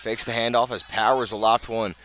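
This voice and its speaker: A man with an American accent giving live play-by-play football commentary for radio, measured when setting the scene and urgent as the action builds. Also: mid-range voice, little pitch movement, pushed and bright, low-quality recording. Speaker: play-by-play sports announcer.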